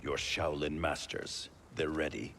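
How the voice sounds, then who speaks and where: An actor playing a grim, wary warrior in a fantasy fighting game, terse and stern. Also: male voice, a bass voice, flat delivery, measured pace, fairly bright tone, slight background noise, exaggerated voice. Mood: grave. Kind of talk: dramatic video game acting.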